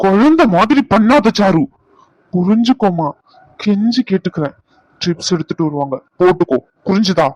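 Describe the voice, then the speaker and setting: A man's voice: high voice, animated, normal speed, a little mellow, no room sound. A middle-aged man acting as an uncle who scolds and coaxes his stubborn niece. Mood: coaxing. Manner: scolding, then coaxing. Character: stern but caring middle-aged uncle. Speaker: uncle of the young woman. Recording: dull lo-fi recording.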